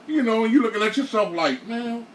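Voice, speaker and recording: A man in his late thirties talking casually on a panel, animated and repeating himself, acting out his own thoughts. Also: very high voice, a little fast. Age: 30s. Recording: echoey room, far from mic, faint hiss.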